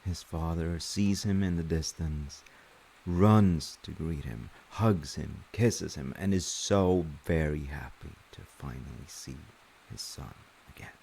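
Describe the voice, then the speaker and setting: A man playing a loving, playful dad in an audio roleplay, telling a story in an unhurried, wry, rambling way. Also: rumbling low, creaky, dark tone, slight background noise, full character voice, rough recording. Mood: wry, gentle. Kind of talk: audio roleplay, informal bedtime-style storytelling.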